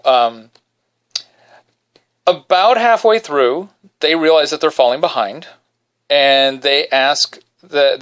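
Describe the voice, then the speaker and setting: A man hosting a podcast from his bedroom, telling a self-deprecating story in a casual, animated way and singing short snippets quietly. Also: clear, resonant tone. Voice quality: baritone. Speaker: podcast host.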